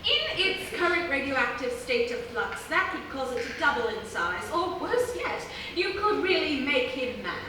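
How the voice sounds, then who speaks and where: A woman's voice: high voice, very animated, normal speed, few pauses. A woman playing a bookish, earnest professor, explaining things dryly in a live comic radio play. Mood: dryly pedantic. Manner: pedantic, explanatory, dry. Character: bookish female professor, dryly pedantic, earnest about monster science. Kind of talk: live radio theatre comedy acting. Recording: roomy live theatre, distant mic, noisy.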